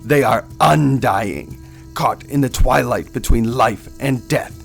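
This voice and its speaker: A man voicing a proud, grave dark elf ranger in a fantasy game reading, formal and portentous. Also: medium pitch, takes it slowly, vocal fry, soft background music.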